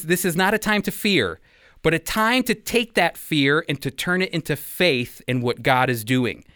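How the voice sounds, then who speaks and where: A man's voice: on the high side, a bit bright, clean tone, dry recording, studio quality. A man hosting a religious radio program, speaking in an earnest, exhortative, conversational commentary style.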